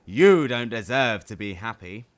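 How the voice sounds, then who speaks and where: A man voicing a hateful, monstrous storm cloud in a storybook, loud and thundering. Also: expressive, measured pace, lightly acted. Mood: furious. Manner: loud, booming.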